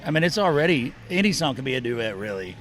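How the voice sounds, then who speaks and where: A man's voice: mid-range voice, big pitch swings, fast talker, a bit bright. Middle-aged man, musician guest, loose rambling storyteller, mid-range voice. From Oklahoma and Texas. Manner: rambling, self-interrupting storyteller. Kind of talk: casual interview chat. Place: outdoor festival.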